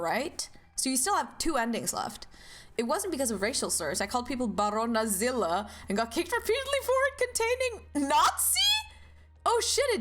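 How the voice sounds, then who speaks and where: A young woman from Norway streaming a game, chatting casually and greeting chat with high, bubbly hellos. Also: fairly bright tone.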